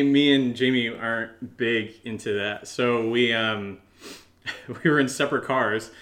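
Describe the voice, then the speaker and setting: A man co-hosting a podcast, telling a story casually in a hesitant, halting way. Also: fairly low pitch, a bit bright. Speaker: podcast co-host. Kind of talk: casual chat.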